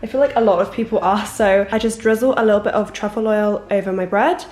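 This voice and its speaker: Young woman, bunged-up nose, brisk chatty delivery, roomy echo. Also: medium pitch. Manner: chatty and relaxed, sharing her routine. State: stuffy nose from a cold. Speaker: lifestyle vlogger. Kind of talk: casual vlog voice-over.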